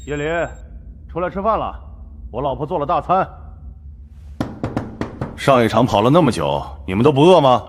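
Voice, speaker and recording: A man playing a blunt, hearty, chatty team veteran in an animated web drama, using slangy speech. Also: mid-range voice, moderate pace, pauses often, noisy background, natural voice. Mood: hearty, teasing. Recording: background music under dialogue.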